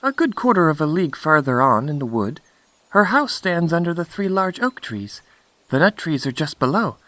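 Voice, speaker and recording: Man putting on an innocent high-voiced little girl. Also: very animated, normal speed, sharp tone, no room sound. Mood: polite, chirpy. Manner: polite, earnest, artless. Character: innocent, polite, wide-eyed little girl. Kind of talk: storybook character voice.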